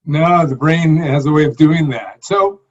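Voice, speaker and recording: Man, interview host, friendly questions, somewhat high pitch, dull lo-fi audio. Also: unhurried. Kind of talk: interview hosting.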